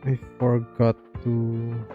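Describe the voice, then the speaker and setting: A man's voice: medium pitch, completely flat delivery, drawn-out words, phone-quality sound, faint music behind. A man streaming a video game, reacting casually as he plays. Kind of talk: casual live gameplay reaction.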